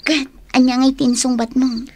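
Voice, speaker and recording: A woman's voice: sits high, level tone, moderate pace, slight background noise. A woman with a Filipino accent playing a nosy, teasing young office confidante in a radio drama. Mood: curious.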